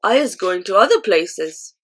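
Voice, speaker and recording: A woman voicing a kind, gentle male giant who speaks politely with muddled grammar and jumbled words. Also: mid-range voice, expressive, unhurried. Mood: calm.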